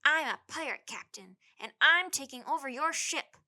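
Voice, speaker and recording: A woman doing a loud, brash little boy. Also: high voice, moderate pace, voice pushed up. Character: bold, bossy young boy pirate-player.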